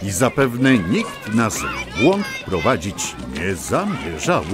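A man's voice: on the low side, huge pitch swings, slow, loud music behind the voice. A man narrating a scripted children's story in a theatrical, whimsical, mock-lofty storyteller's manner.

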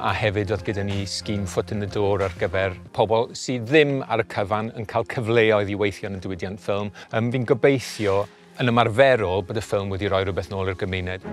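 A man answering interview questions in a thoughtful, hesitant way, pausing with fillers. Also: lowish voice, faint music behind.

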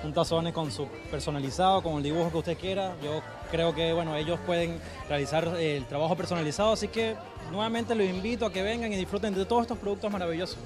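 Man, chilean accent, quick agreeable promo answers, background music. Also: on the high side. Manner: eager, agreeable, repeats "claro". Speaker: event organizer. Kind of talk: on-camera promo interview.

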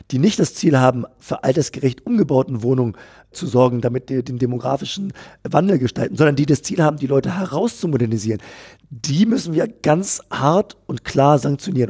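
A man being interviewed about policy, answering at length in a measured way, often repeating phrases and using fillers. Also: mid-range voice, warm tone, breathy edge. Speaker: politician guest. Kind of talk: political interview.

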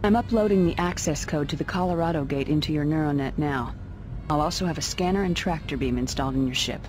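A woman playing a brisk, authoritative young military officer in a video game cutscene. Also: low voice, lightly acted. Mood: businesslike. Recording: game music behind. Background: music.

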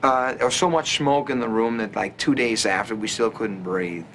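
A man's voice: mid-range voice, moderate pace, music in the background, poor audio. Young man recalling his band. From Denmark. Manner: relaxed, rambling with fillers and restarts. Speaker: rock band singer. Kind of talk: casual interview, reminiscing.

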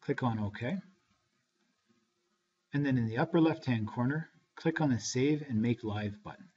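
Dull-sounding male software tutorial narrator. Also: mid-range voice, a little slow. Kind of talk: step-by-step scripted tutorial narration. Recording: dull, lo-fi screencast voice-over.

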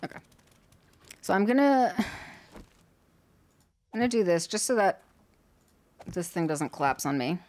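A woman's voice: fairly low pitch, big pitch swings, unhurried, pauses often, darkish tone. Woman streamer thinking aloud, slightly worn voice. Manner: chatty, talks to herself while building. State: voice a little worn. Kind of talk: casual gameplay commentary.

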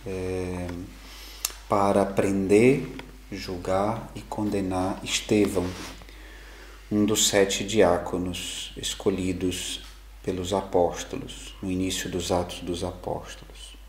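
A middle-aged male priest giving a homily, measured and reflective, with slow emphatic pauses. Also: sits low, little pitch movement. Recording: echoey room, faint background noise.

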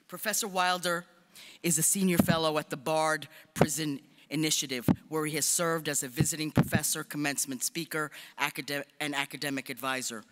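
A man's voice: fairly high pitch, pushed and bright. A man giving a formal introduction of a commencement speaker, with light joking asides. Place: large hall stage at podium. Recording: long hall reverb.

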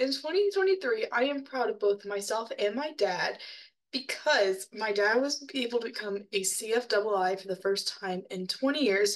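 A young teenage girl reading a short news segment in a lively, upbeat way. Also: on the high side, poor audio. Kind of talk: scripted news presenting.

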